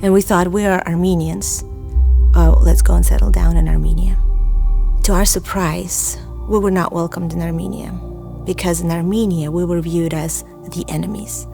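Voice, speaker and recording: A woman who grew up in Azerbaijan and Russia gives a personal testimony. Her voice sits fairly low with noticeable vocal fry, and she speaks in a reflective, deliberate way over soft background music. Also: fairly even intonation. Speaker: church member giving testimony.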